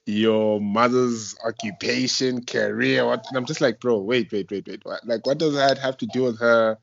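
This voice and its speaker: A man's voice: medium pitch, fairly even intonation, moderate pace, gravelly, no echo. A young South African man hosting a casual radio interview, speaking in an informal, slangy way. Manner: informal, slangy, effusive reactions. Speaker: radio show host. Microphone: internet voice call. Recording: muffled lo-fi internet call.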